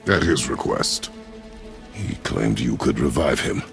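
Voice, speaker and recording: An actor playing a stern, battle-hardened warrior father in a video game, gruff, growling and terse. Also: a bass voice, lively intonation, measured pace, full character voice. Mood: curt, displeased. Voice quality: gravelly, growling. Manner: terse, commanding. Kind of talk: cinematic video game acting. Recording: music behind the voice.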